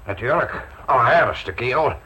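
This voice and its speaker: A man's voice: mid-range voice, expressive, moderate pace, pushed and bright, muffled recording, a little hiss or hum. An actor playing an indignant, blustering upper-class family father in an old radio play. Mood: indignant, puzzled. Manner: blustering, exclamatory.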